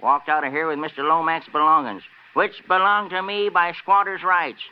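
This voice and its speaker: A man's voice: fairly high pitch, steady tone, moderate pace, smooth, close to an everyday voice. A man acting a folksy, aggrieved small-time crook in an old radio crime drama, speaking in clipped colloquial phrases. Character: shady, self-pitying male bathhouse thief, folksy and aggrieved. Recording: muffled old radio recording.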